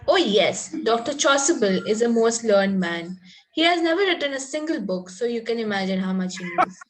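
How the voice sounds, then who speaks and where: Naive, romantic young ingenue, played by a woman, slightly high voice, prim and earnest, Victorian comedy table read. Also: expressive, moderate pace, lightly acted, low-quality recording. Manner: earnest, politely formal. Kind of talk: amateur play table read.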